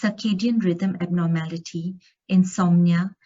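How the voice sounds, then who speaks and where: A woman from Singapore delivers an academic lecture in a conference room, her voice sitting a little low. She works steadily through study findings, stumbling now and then on a filler or a restart. The recording is dull and slightly lo-fi. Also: steady tone, measured pace, warm tone.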